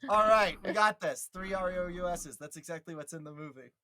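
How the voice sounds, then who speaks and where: A woman's voice: lowish voice, brisk, bright, forceful tone. A woman chatting and reading a part at a live table read, joking and theatrical in manner. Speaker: cast reader at a live streamed table read. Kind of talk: casual chat and playful script reading.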